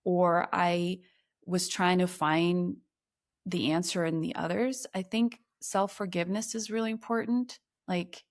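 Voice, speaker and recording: Podcast guest: woman from Ukraine, thirties, slightly low voice, earnest, reflective storytelling. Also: fairly even intonation. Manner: reflective, earnest, slows down on emotional points. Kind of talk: personal storytelling in conversation.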